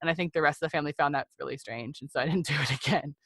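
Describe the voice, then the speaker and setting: Low-pitched American woman, chatty. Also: rapid-fire, fairly bright tone, no echo. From Seattle area, United States. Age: 30s. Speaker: podcast guest. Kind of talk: personal storytelling in a conversational interview.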